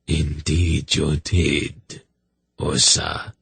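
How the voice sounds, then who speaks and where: Man voicing a slow, crooning cruel ruler. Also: very deep voice, little pitch movement, creaky, a bit bright, slightly rough, no echo, full character voice. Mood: silky menace. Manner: crooning, deliberate. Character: cruel, crooning male holy ruler, slyly menacing.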